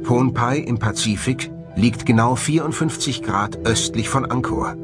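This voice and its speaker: A man narrating a scripted documentary in a measured, explanatory way. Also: medium pitch. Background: music under the voice.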